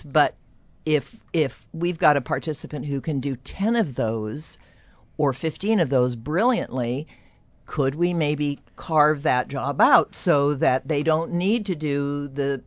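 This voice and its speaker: Low-voiced woman explaining a program. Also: no room sound, muffled recording. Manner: earnest, explanatory. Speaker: employment program representative, guest. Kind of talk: radio interview.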